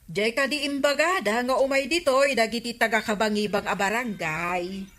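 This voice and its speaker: An actress playing a practical, inquisitive village aide in a comedy radio drama, speaking with an Ilocano accent. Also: female voice, on the high side, moderate pace, a bit bright, close to an everyday voice. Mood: inquiring.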